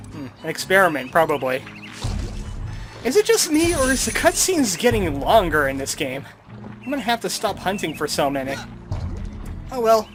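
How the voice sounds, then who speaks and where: A man giving casual, snarky let's play commentary as he plays a video game. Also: sits high. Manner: snarky, wry. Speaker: gamer, let's play host. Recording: commentary over game music.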